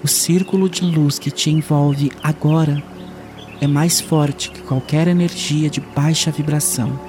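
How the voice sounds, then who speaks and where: A man leading a guided meditation in a soothing, unhurried way with long pauses. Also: fairly high pitch, steady tone, music in the background.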